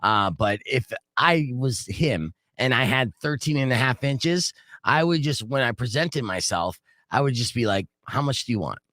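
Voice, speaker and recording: A man with a deep voice hosting a live radio show, joking and poking fun at himself. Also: moderate pace, hardly any pauses, dry recording, studio quality. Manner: joking, self-mocking, lively. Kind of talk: casual radio banter. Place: radio studio. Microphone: studio microphone.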